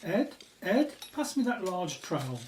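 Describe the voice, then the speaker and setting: A woman plays a polite, focused archaeologist in a radio play. The lively voice comes from a little way off, with strong echo around it. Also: on the low side, unhurried. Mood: focused, brisk. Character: focused, polite archaeologist named Doctor Philip Branscombe. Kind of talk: radio drama acting. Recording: echoey, distant from mic, faint noise.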